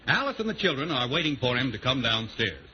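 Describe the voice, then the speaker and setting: A man's voice: mid-range voice, sing-song, quick pace, lo-fi sound, slight background noise. A man with an American accent announcing and narrating a scripted 1940s radio show. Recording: band-limited 1940s radio recording. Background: music.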